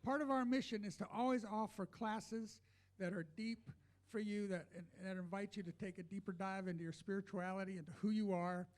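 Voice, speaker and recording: High-voiced man giving church announcements. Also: fairly even intonation, fairly quick. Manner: conversational, engaging the audience with questions. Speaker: church announcer promoting classes. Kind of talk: informal announcement to a congregation.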